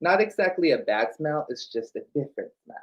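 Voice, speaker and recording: Lively drag queen reader on dull audio. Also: medium pitch, brisk. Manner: playful, campy. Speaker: drag queen story time co-host. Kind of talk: children's read-aloud with playful banter. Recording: slightly dull livestream audio.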